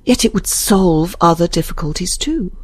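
A woman voicing a grave, devoted gentleman from a period novel, speaking in a slow, measured and sometimes halting way. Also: on the low side, animated, no echo. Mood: resigned.

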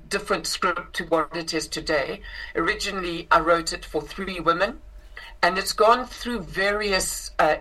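A woman's voice: sits low, sharp tone, low-quality recording. A woman with a South African accent, a singer and actress, telling an interviewer about her show in a chatty way with many ums and restarts. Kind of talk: casual interview chat.

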